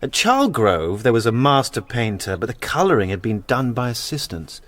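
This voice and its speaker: An actor playing a haunted young war veteran who restores church murals, in a naturalistic radio drama, hesitant in talk and eloquent about art. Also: male voice, medium pitch, sing-song, moderate pace, no room sound, close to an everyday voice. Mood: reserved. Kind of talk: naturalistic radio drama, dialogue and reflective narration.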